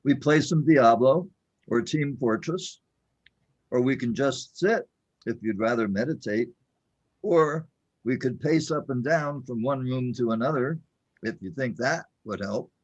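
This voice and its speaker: A man playing a calm, patient guide in an audio drama, speaking in a husky, measured, reassuring way. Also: mid-range voice, dull audio. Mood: calm, probing. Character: calm patient male guide to a confused newcomer.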